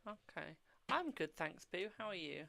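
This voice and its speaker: A woman's voice: fairly low pitch, big pitch swings, moderate pace, vocal fry, background noise. A woman from the UK with a British accent, casually chatting on her tarot livestream from home. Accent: British English. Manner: chatty, hums to herself, calls out to family. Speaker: tarot reader livestream host. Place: home room. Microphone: cheap webcam mic.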